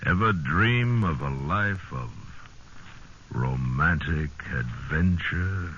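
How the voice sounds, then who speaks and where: A man announcing a 1950s radio drama in a dramatic, measured way, on an old broadcast recording. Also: extremely low pitch, bright, forceful tone, muffled recording, slight background noise.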